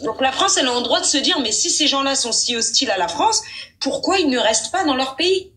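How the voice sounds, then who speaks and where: A woman's voice: high voice, lively intonation, brisk, sharp tone. A Moroccan woman hosting a live stream debate, insistent and talking over her opponent, repeating her points.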